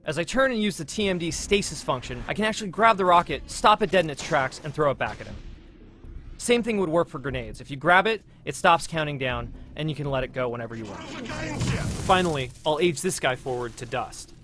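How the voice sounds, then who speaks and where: A man with a fairly high voice narrates a gameplay demo in the role of producer. His scripted presentation sits in a clearly noisy recording, with game sounds behind it. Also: lively intonation, a little fast, sharp tone. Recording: noisy low-quality promotional video audio.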